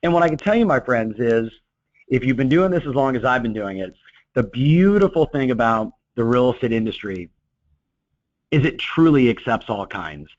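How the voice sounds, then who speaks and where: A middle-aged man coaching real estate agents in a webinar, talking in an energetic, motivational way with lots of "you know" fillers. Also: mid-range voice, normal speed, dry recording. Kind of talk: webinar talk. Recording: dull, dry lo-fi webinar audio.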